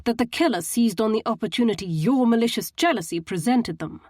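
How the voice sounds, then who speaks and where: A stern man, read by a woman narrator, presses his questions with cold severity and open disdain. His lines come a bit higher and firmer than the narration. The recording is dry. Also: a little fast, no room sound, close to an everyday voice. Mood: severe.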